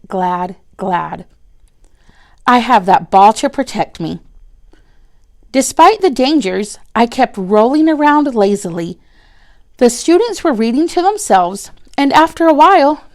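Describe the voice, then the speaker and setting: Female storybook narrator, higher-pitched, very unhurried, expressive read-aloud for kids. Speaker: read-aloud storyteller reading a children's book.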